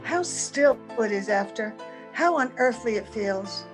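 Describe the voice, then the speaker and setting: A woman's voice: a little high, expressive, measured pace, music in the background. A woman playing a romantic, naive young bride, happy yet anxious, in an old-time radio drama. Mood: tender, fearful.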